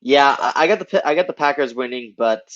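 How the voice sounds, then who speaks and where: A man's voice: mid-range voice, fairly bright tone. Easygoing man on a dull, muffled line. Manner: easygoing, laughs along, halting restarts. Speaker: podcast co-host. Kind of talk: casual sports podcast chat.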